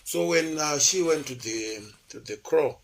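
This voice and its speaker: Gravelly man from Zimbabwe. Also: a little high, measured pace, slight background noise. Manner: digressive, chatty, with frequent fillers and restarts. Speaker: interview guest, lecturer. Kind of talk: relaxed storytelling interview.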